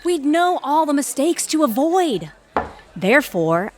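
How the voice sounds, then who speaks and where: A woman playing an arrogant, calculating lawyer in an audio drama, articulate, condescending and self-assured. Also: high voice. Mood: smug, calculating. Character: arrogant, calculating Harvard-trained female lawyer.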